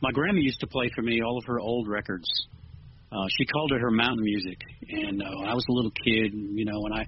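A man's voice: mid-range voice, quick pace. A man speaking as a musician guest in a casual interview, earnest and modest in manner. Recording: dull lo-fi with clear noise.

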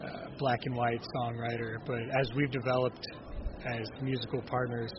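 A man in his thirties with a raspy speaking voice, talking casually as an interview guest and often restarting his sentences. The recording is dull and lo-fi. A steady hiss of noise runs underneath. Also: medium pitch. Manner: halting, many restarts and fillers. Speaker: bluegrass singer-songwriter, guest. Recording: dull lo-fi audio with clear noise.

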